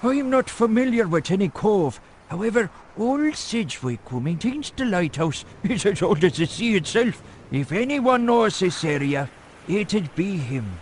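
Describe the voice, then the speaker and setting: A man's voice: sits high, very animated, a little slow, a little hiss or hum. A man playing a wary rustic local in a video game, with folksy, archaic phrasing and music behind him. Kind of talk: video game character acting.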